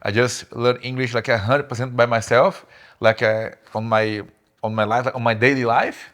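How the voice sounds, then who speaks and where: Young man, podcast guest, halting and repetitive delivery. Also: medium pitch, fairly even intonation, a bit bright. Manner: halting, repeats words, laughs often. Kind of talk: casual interview.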